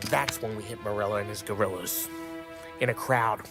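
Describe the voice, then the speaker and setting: A man voices a gangster sidekick in a cinematic game, scheming and eager for action. He talks with streetwise drive while music plays clearly behind him. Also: lowish voice, steady tone, moderate pace, lightly acted. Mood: conspiratorial. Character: scheming, excitable mobster sidekick in a car chase. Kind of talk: video game cinematic acting.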